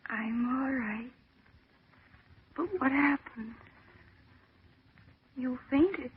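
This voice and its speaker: A woman's voice: high voice, fairly even intonation, extremely slow. An actress playing an eerily serene, delusional office woman in an old-time radio horror drama, dreamy and detached. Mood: eerily calm. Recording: tinny, noisy vintage radio recording.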